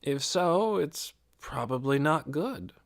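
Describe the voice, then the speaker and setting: Tired practical woman, acted by a narrator, dismissive, matter-of-fact, lifted pitch, audiobook dialogue. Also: expressive, takes it slowly, gravelly. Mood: weary.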